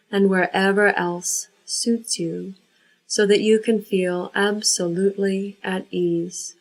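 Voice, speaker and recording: A woman leading a guided yoga nidra relaxation, giving unhurried, measured instructions. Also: medium pitch, rough recording.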